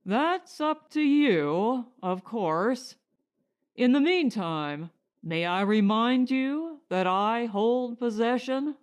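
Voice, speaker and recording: A woman voicing a reasonable, courteous male settler in an audiobook story, speaking in a deliberate, persuasive way. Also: fairly high pitch, animated. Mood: cool.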